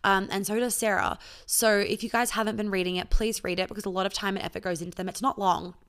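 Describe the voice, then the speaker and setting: A woman's voice: medium pitch, fairly even intonation, fast talker, fairly bright tone, smooth. A young woman hosting a casual solo podcast as a coach, candid and chatty, swearing freely and filling her talk with "like". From born in Australia, raised in New York, Sydney and Melbourne. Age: young adult. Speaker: podcast host and intimacy coach.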